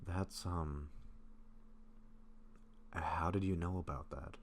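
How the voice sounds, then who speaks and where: Man playing a very deep-voiced, gentle vampire. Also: fairly even intonation, slight background noise. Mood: nervous, confessing. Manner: soft-spoken, hesitant, halting with pauses. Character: gentle, bashful male vampire, sick, teasing a close friend. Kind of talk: intimate roleplay audio acting, one side of a conversation.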